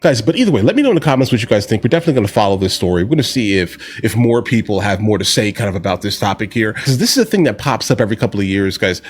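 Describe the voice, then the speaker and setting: Animated man giving casual opinion commentary. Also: fairly low pitch, very fast, hardly any pauses, breathy edge. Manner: slangy, rhetorical questioning, exasperated. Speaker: reaction video commentator.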